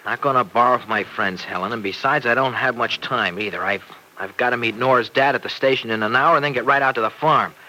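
An actor playing a worried, hard-up young husband in an old radio soap, talking in a hesitant, colloquial, placating way. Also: male voice, mid-range voice, fast, sharp tone. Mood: pleading. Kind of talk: 1940s radio soap opera acting. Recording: faintly noisy vintage radio drama recording.

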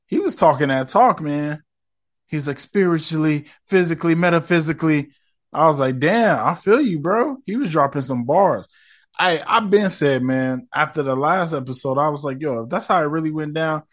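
A man hosting a casual podcast review, talking in a loose, slangy, profane and rambling way, his voice a bit rough from smoking. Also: medium pitch, a bit bright. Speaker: podcast host.

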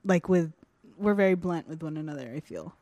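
A young woman co-hosting a casual chat, relaxed and loose with fillers. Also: low-pitched, fairly quick, dry recording. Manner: relaxed, trails off with fillers and laughs. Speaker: podcast co-host.

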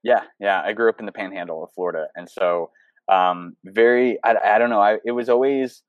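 A man's voice: fairly low pitch, a little fast, dry recording, phone-quality sound. A young man, a photographer and coach, talking casually as an interview guest over a video call, rambling with many fillers and restarts. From United States.

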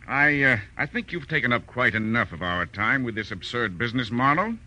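Vintage radio heavy: haughty rich husband, very bright forceful voice, lively intonation, suave sarcasm, faintly noisy recording. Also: male voice, medium pitch, fairly quick, muffled recording. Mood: dismissive. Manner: sardonic, formal, haughty. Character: cold, suave, imperious wealthy husband under suspicion. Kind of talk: 1940s radio drama acting.

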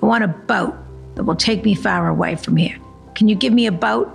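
Lady putting on a needy selfish kid from a storybook, plaintive, bit of fry, music low behind. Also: a little fast. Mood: tired, plaintive. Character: needy, self-absorbed boy who grows old and weary.

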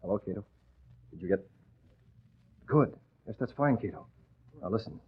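Commanding radio-drama newspaper boss, lively pitch. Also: male voice, normal speed, slightly rough. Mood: brisk, commanding. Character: brisk, confident newspaper boss, secretly a masked crimefighter. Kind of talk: 1940s radio acting. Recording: muffled vintage radio drama recording.

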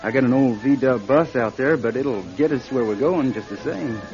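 A man's voice: mid-range voice, moderate pace, lightly acted. An actor playing a young male van driver with a folksy, hesitant way of talking in a radio drama. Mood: friendly. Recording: dull radio recording, music behind.

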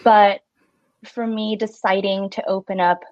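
A woman's voice: mid-range voice, flat delivery, a little slow, darkish tone, no room sound, highs cut off. Friendly thirties woman guest from Singapore. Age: 30s. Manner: friendly, uses many fillers like "like" and "um". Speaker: stationery shop owner, guest. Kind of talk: casual interview chat.

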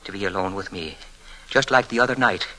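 An actor playing an unhinged, cunning young murderer in an old-time radio thriller, muttering and repeating himself, then swinging into frenzy. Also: male voice, deep voice, fairly quick, sharp tone, rough recording. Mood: cold, edgy. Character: unhinged young male murderer, cunning, paranoid, childishly boastful. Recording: vintage radio drama with dramatic music.

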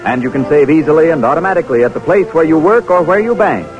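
A man's voice: on the high side, animated, quick pace. An American man reading scripted announcements in 1940s radio style on an old broadcast. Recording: dull old radio broadcast, music behind.

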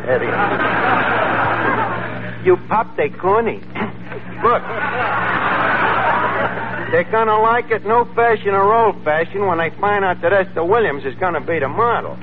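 An actor playing a brash, slangy, word-mangling tavern manager with a New York accent in 1940s radio comedy. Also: male voice, sits high, measured pace, long pauses, a crowd in the background. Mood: confident. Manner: slangy, mangles words and grammar. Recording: tinny, noisy old radio recording.

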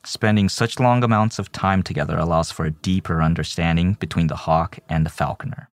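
A man reading scripted documentary narration as a storyteller, in a measured manner. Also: sits low, steady tone, dry recording. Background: soft background music.

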